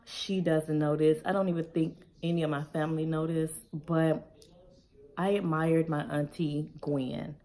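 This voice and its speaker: Low-voiced woman chatting casually. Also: unhurried. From Chicago, Illinois. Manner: relaxed, conversational, reads each question aloud then answers. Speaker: vlogger. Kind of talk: casual Q&A tag video.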